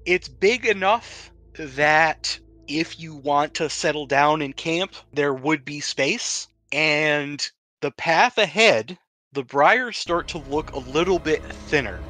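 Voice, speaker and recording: A man's voice: on the high side, takes it slowly, sharp tone, no echo. A man acting as game master, narrating a tabletop role-playing game in a conversational, explanatory way.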